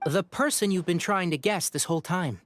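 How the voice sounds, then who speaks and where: An actor playing a helpful, level-headed young forest ranger in a video game, precise and informative. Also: male voice, sits high, a little fast, fairly bright tone, faint music behind.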